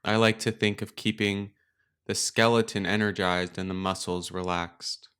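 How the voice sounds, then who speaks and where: A man leading a guided meditation as a teacher, speaking in a calm, gentle, unhurried way with pauses. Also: fairly low pitch, smooth. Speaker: meditation teacher and podcast host. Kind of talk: guided meditation, slow and instructional.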